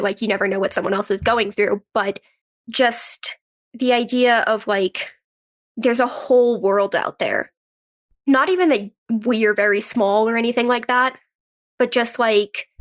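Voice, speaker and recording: A woman with a fairly high voice talks as a guest in a conversational interview. She explains things earnestly, as someone speaking from her own working experience as a performer. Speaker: podcast guest, actress and writer.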